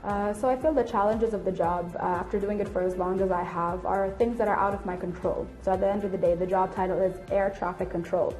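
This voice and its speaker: A woman's voice: mid-range voice, fairly even intonation, fast, few pauses, darkish tone, soft background music, low-quality recording. A woman in her late twenties giving candid, articulate explanations in an interview. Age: late 20s. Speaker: air traffic control officer and instructor being interviewed.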